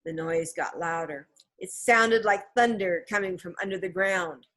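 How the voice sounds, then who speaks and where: A woman reading scripted narration from a children's novel in a measured, expressive storytelling manner. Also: on the low side. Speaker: storybook reader.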